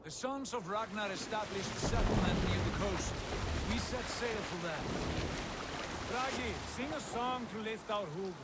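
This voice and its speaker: An actor playing an ambitious, defiant Viking prince in a video game, speaking in measured, formal declarations. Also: male voice, high voice, very noisy. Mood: hopeful. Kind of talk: video game cinematic acting.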